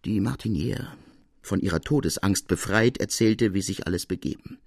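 A man narrating a literary audiobook in a measured, expressive, dramatic manner with deliberate pauses. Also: lowish voice, gravelly, slightly rough, no room sound, studio quality.

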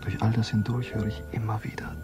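An actor playing an infatuated, anxious clerk in a German radio play, hushed and dreamy in an intimate way. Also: male voice, fairly low pitch, flat delivery, a little fast, natural voice. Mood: haunted, anxious. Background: guitar music.

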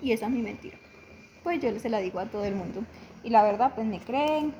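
A young woman from Venezuela, answering interview questions about her life in a reflective, halting way. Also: on the high side, expressive, mellow, slight background noise. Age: young adult. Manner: reflective, halting, with frequent restarts. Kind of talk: personal testimony interview.

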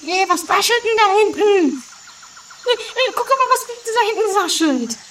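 Female performer as curious, chatty, flighty lady, very high piping voice, old amateur radio play with faint background noise. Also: very animated, measured pace, fairly bright tone, heavily acted. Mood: chatty, nosy. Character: gushing, flighty, curious, easily unsettled lady. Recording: distant, faintly noisy amateur 1980s recording.

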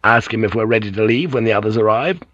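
A rugged ship's pilot acted by a male audiobook narrator, blunt and commanding. He speaks in terse, direct phrases with a husky grain, recorded dry. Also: on the low side, quick pace, fairly bright tone, no room sound, muffled recording. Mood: low-key, firm.

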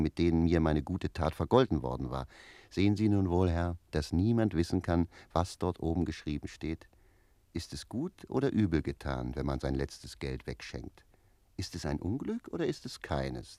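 An actor playing a talkative, cheeky, stubborn manservant in a German radio play. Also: male voice, low voice, lively intonation, moderate pace, warm tone, no echo. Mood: chatty. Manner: chatty, argumentative.

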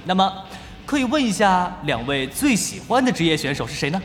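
A man's voice: sits high, big pitch swings, brisk, a bit bright. An actor playing an upbeat young male esports event host, announcing to a hall in an audio drama. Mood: upbeat, showy. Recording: echoey hall announcer sound, music underneath. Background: music.

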